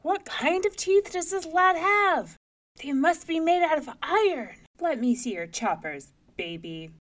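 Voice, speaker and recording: A woman voicing a bullying, boastful male giant in a storybook tale, with a shouting, blustering delivery. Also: mid-range voice, unhurried. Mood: demanding.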